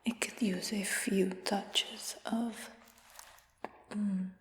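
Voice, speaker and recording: A woman playing a smug, doting human dragon hunter in a fantasy ASMR roleplay, whispering in a coaxing, gently boastful way. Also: medium pitch, steady tone, very slow. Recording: echoey whisper with long cave-like reverb.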